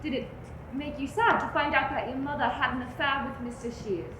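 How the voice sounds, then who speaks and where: Gentle, patient teacher; woman in the part, high and soft, roomy. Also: fairly even intonation, a little fast, natural voice. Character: calm female teacher questioning a boy. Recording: echoey room with faint noise.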